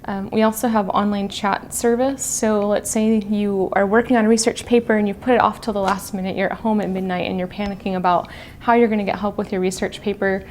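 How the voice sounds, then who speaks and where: A woman's voice: mid-range voice, flat delivery, fairly quick, few pauses, darkish tone. Woman explaining services over background noise. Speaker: college librarian, interview guest. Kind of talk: informal explanatory interview talk. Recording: noticeable background ambient noise.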